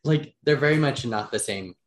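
A man's voice: mid-range voice, moderate pace. A young man talking as a podcast guest in a casual chat, hesitant, with frequent "um"s and restarts. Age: young adult.

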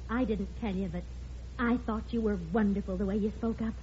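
An actress playing a gentle, devoted middle-aged American wife and mother in an old radio sitcom. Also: mid-range voice, steady tone, a little fast, close to an everyday voice. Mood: tender, proud. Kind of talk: 1950s radio sitcom acting. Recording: muffled old radio recording, faint noise.

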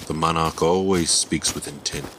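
An actor playing a solemn, loyal royal retainer who speaks formally and gravely in a fantasy audio drama. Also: male voice, very deep voice, fairly even intonation, normal speed, background noise.

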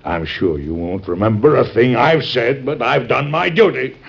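Gravelly, stern but doting old-radio father. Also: mid-range voice, moderate pace. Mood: instructive, tender. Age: middle-aged. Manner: formal, florid, lecturing speech. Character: stern, doting, fretful father of grown daughters. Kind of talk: classic American radio drama acting. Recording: muffled old radio recording.

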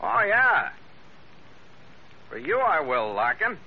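An actor playing a tough, wry ship's skipper, hero of an old-time radio adventure, with a terse, cool manner. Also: male voice, a little high, very animated, takes it slowly, a little hiss or hum. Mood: defiant. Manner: hard-boiled, terse, cool. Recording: muffled vintage radio recording with music.

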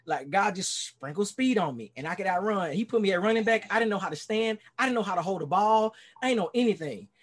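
A Texan man in his forties, a pastor speaking as a guest on a video call. His voice sits fairly high, and he tells his story in an earnest, rambling way with frequent fillers and restarts. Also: animated, fast talker, hardly any pauses. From Dallas, Texas. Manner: earnest, rambling, many "you know"s and restarts. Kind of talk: casual interview storytelling.

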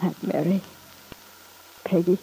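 A woman's voice: lowish voice, fairly even intonation, slow, vocal fry, dark tone. An actress in a classic radio soap opera playing a bitter, unstable daughter who taunts her father, on a noisy old recording. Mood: bitter, aggrieved.

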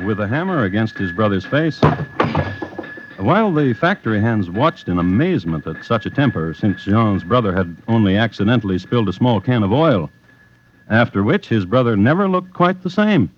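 A man narrating true crime as a radio host in a deliberate, dry, wry storytelling style from mid-century radio. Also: fairly low pitch, big pitch swings, slight background noise. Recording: muffled vintage radio, music behind.

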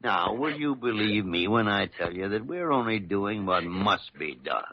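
An American actor playing a gruff but kindly old senior doctor in a vintage radio drama, halting and emphatic as he repeats his words. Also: lowish voice, lively intonation, a little hiss or hum. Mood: solemn, gentle. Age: older. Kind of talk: 1940s-50s radio drama acting. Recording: dull vintage radio recording.

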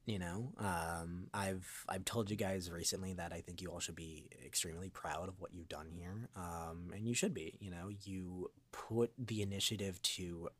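A man speaking as a guest voice actor in a reflective interview, earnest and rambling, often halting as he finds his words. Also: sits low, steady tone, a bit bright, breathy edge, no room sound.

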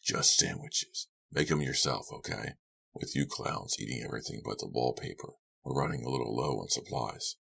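A man's voice: very deep voice, expressive, normal speed, gravelly, fairly bright tone, slightly rough, exaggerated voice. A man voicing a drunken, mocking, leering man in an audiobook, with slurred, crude, drawling speech. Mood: taunting, gruff. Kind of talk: audiobook character voice.

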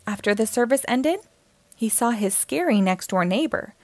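A woman's voice: on the high side, animated, moderate pace, no room sound. A woman narrating a storybook aloud for young children.

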